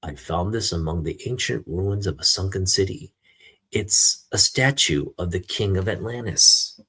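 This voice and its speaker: A resolute male superhero from a comic book, read out by a man. He explains himself in solemn, deliberate statements. The voice is low and husky. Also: lively intonation, sharp tone, exaggerated voice. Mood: explaining.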